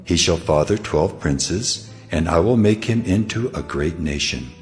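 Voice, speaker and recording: Very deep, commanding voice of God. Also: male voice, lively intonation, soft background music. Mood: solemn, promising. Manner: solemn, measured, authoritative. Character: God, solemn, commanding almighty male deity. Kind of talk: dramatized scripture reading.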